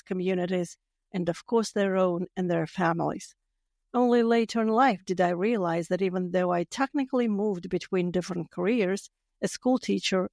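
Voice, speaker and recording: A woman in her fifties from Latvia with a Latvian accent, hosting a podcast in a measured, carefully read monologue. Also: mid-range voice, darkish tone, no echo, studio quality. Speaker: podcast host. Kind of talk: scripted solo podcast monologue.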